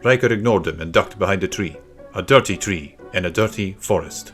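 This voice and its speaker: Low-voiced man narrating a children's story. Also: brisk, fairly bright tone, soft background music. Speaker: audiobook narrator.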